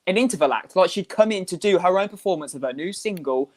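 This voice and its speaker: A woman's voice: fairly low pitch, expressive, fast, darkish tone. A woman chatting as a panel guest over a video call, frank and wry in her opinions. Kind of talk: casual panel chat.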